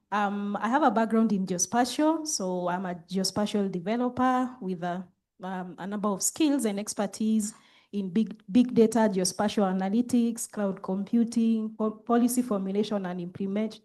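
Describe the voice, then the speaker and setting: A woman from Kenya introduces herself on a conference panel. Her voice is mellow and she speaks with confidence. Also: mid-range voice. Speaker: panelist, geospatial developer.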